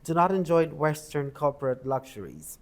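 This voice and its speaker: Man, fairly high pitch, hesitant, interviewer. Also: sing-song, normal speed. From Romania. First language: Romanian. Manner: hesitant, searching for words with fillers. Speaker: journalist, interviewer. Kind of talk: interview questions.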